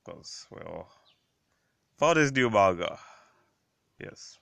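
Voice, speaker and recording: A man with a very deep, gravelly voice roughened by a cold, speaking in a dry, deadpan way on a casual podcast. The sound is close and dry, with no room around it. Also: very slow, no room sound. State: has a cold. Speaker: podcast co-host. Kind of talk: casual chat.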